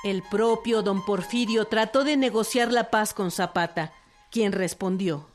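A woman's voice: medium pitch, a little slow. A woman with a Mexican accent reading scripted narration for a historical radio drama. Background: music with fiddle.

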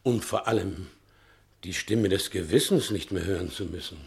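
A man playing a repentant rogue giddy with relief, in theatrical, declamatory 1960 German radio play style with a creaky voice. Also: low voice, normal speed. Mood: eager, elated. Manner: declamatory, effusive, swinging from hushed to exclaiming.

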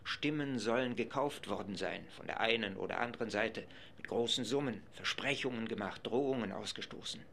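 A man delivers live radio commentary, his voice sitting a little low. He reports with urgency from a press gallery. A faint hiss runs under the recording. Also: a little fast, gravelly, hardly any pauses, a bit bright.

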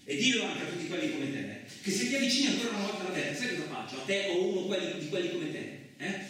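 An actor playing a coarse, suspicious, lonely truck driver in a radio theatre monologue, rambling colloquially with frequent questioning interjections. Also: male voice, sits high, very animated, rapid-fire, sharp tone, full character voice. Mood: threatening. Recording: very echoey, distant, noisy room recording.

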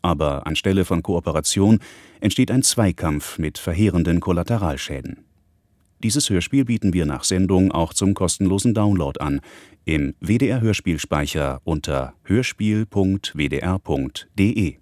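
A man with a deep voice reads a scripted radio introduction. His delivery is measured and factual, and the sound is close and dry, with no room around it. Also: animated, a little mellow, no room sound. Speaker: radio presenter.

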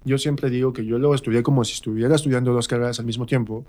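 A man with a central Mexican accent speaks at a middle pitch in a casual interview. He tells stories about himself conversationally, slipping into little re-enactments of what people said. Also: fairly even intonation, fast, darkish tone. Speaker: interview guest, stage actor. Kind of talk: casual personal storytelling in a radio interview.

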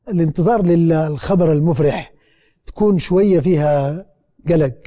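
A man's voice: a little high, mellow. A man with a Saudi Hejazi accent interviewing guests as host, encouraging them with frequent pious interjections and echoing their words.

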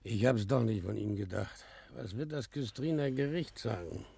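An actor in a radio play as a gossiping townsman with a ponderous, relaxed way of talking. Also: lowish voice, normal speed, gravelly, breathy edge, exaggerated voice. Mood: dismayed gossip. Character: dismayed, gossiping village townsman. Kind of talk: German radio play acting.

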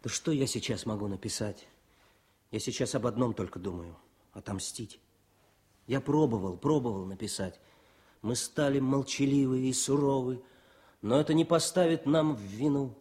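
An actor playing a grieving young soldier-poet in a Soviet radio drama, solemn and declamatory, intense and set on revenge. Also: male voice, medium pitch, moderate pace, dark tone, natural voice. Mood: grieving, bitter.

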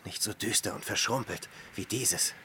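An actor in a radio drama playing an ascetic hermit saint, fervent and full of doubt, with anguished delivery that swings between murmur and outburst. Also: male voice, on the low side, normal speed, bright, forceful tone, exaggerated voice. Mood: troubled, suspicious. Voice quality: husky, breaks into whispers.

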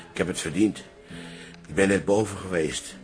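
A man playing a jovial, teasing older father in a radio comedy drama, with a creaky voice. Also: low-pitched, a little slow, soft background music. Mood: amused, teasing.

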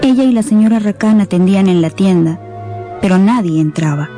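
Woman narrator over clear music. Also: medium pitch, brisk. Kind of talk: scripted dramatic audiobook narration.

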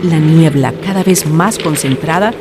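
Actress, female storyteller, solemn, low-pitched, radio drama narration, loud background noise. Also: a little slow. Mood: solemn, suspenseful. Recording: loud vehicle and night sound effects.

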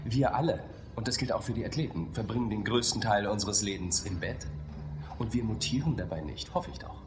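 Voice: mid-range voice, fairly quick, roomy sound, long reverb tail, background noise, lightly acted. An actor playing a curt, evasive sports coach in a radio drama, with a dry, sardonic manner. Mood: dry, dismissive.

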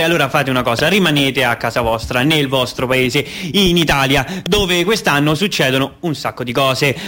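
A man's voice: mid-range voice, pushed and bright, lightly acted. A man playing a bossy, sardonic foreign narrator in a radio play, declaiming like a showman. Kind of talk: dramatic radio theatre monologue.

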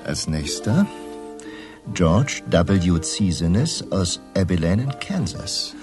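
A shrewd, self-assured newspaper chief in a radio crime drama. He speaks very low with animated, theatrical intonation and a knowing air, over clearly audible music. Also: slow, exaggerated voice. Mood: ominous storytelling.

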